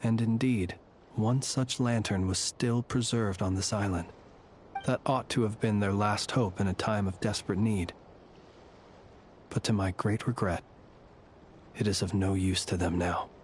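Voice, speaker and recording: A man voicing a courtly, solemn keeper of ghosts in a video game, formal, measured and eloquent. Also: fairly low pitch, steady tone, a little mellow, slight background noise, natural voice. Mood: solemn regret. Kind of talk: dramatic video game dialogue.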